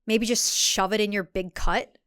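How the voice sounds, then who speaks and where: A woman's voice: mid-range voice, steady tone, moderate pace, fairly bright tone, smooth, close to an everyday voice. A woman voicing a condescending, menacing male cult leader and husband in a thriller audio drama. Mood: dismissive. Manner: condescending, mocking, self-righteous.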